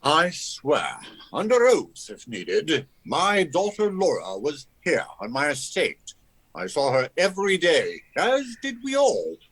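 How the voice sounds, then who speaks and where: A man's voice: a little high, wildly swooping pitch, takes it slowly, fairly bright tone, breathy edge, full character voice. An actor playing an indignant estate-owning father in a radio drama, formal, affronted and emphatic.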